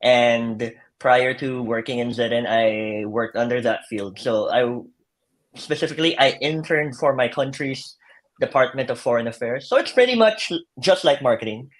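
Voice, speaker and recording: A young man on a video call as a guest, talking in a relaxed way about his experience as an intern and often saying "like". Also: mid-range voice, moderate pace, low-quality recording. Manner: relaxed, lots of "like" and restarts. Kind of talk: casual live-stream chat. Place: home, Madrid.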